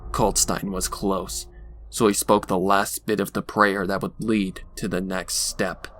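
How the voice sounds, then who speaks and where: A man's voice: sits low, level tone, unhurried, a bit bright, faint music behind. A man narrating a scripted horror story in a dramatic storytelling style. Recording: faint background noise.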